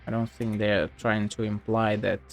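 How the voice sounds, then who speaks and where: A man's voice: fairly low pitch, flat delivery, moderate pace, vocal fry, faint music behind. A man giving casual, offhand game commentary and reading text aloud, muttering now and then. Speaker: video game streamer.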